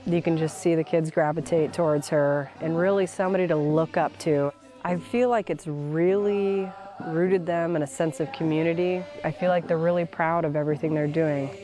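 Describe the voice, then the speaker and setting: A woman with a low, dark voice speaks admiringly of a teacher. Faint music sits under her words. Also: steady tone, normal speed. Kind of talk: interview testimony.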